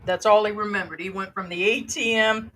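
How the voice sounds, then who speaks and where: A woman's voice: mid-range voice, fairly even intonation, a little fast, bright, forceful tone, low-quality recording. A woman from the United States co-hosting a casual chat, laughing often and reading a story aloud with comments. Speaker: co-host.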